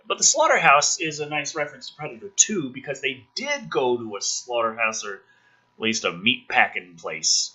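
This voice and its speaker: A man reading a film script dramatically and breaking off for sarcastic, profane commentary, with a rough-edged voice. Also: on the low side, bright, forceful tone, poor audio.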